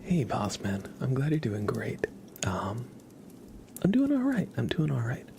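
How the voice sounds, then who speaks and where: A man hosting an ASMR livestream, chatting gently and casually in a soft, breathy voice with frequent pauses. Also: medium pitch, wildly swooping pitch, background noise. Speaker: livestream host.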